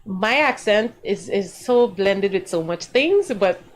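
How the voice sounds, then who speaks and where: A woman from Guyana speaks as a guest singer-songwriter in a relaxed interview. She talks at length and with emphasis. Her pitch often jumps upward when she stresses a point. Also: slow, slight background noise. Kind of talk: casual interview chat.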